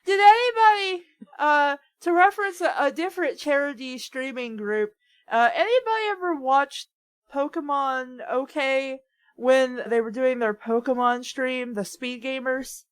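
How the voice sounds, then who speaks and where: A woman's voice: sits high, lively intonation, a little slow, no room sound. A woman chatting and riffing casually as a reader on a group read-along show.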